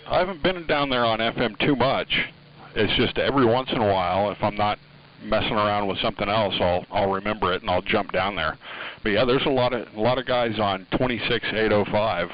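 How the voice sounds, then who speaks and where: A man talking casually over two-way radio, easygoing and agreeable, using operator lingo. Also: on the low side. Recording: dull band-limited radio transmission.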